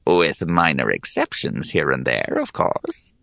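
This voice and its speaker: A sly ancient hag from a fantasy storybook, speaks in a gravelly, languid drawl. The delivery is very slow and mock-polite, with huge swoops of pitch and a coolly dismissive air. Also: male voice, very animated, a bit bright, no room sound, studio quality, exaggerated voice. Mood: sly, condescending. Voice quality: creaky, gravelly. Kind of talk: audiobook character acting.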